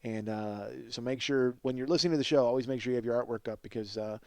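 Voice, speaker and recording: A man's voice: medium pitch, fast, clean tone, no echo. An American man hosting a comedy podcast in a casual, rambling, self-deprecating and profane monologue.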